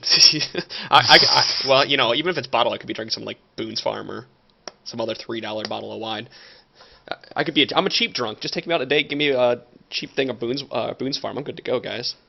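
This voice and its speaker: Gravelly, playful Michigan-raised man. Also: mid-range voice, quick pace, pushed and bright, highs cut off, a little hiss or hum. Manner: laughs often, playful teasing. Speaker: podcast host. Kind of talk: casual chat.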